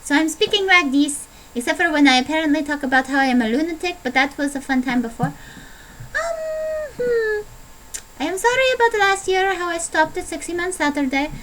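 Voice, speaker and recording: Young woman, very high voice, mock Italian accent, playful rambling video diary, clear background noise. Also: lively intonation, a little fast, fairly bright tone, poor audio. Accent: put-on Italian. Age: young adult. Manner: playful, self-deprecating, rambling. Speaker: vlogger.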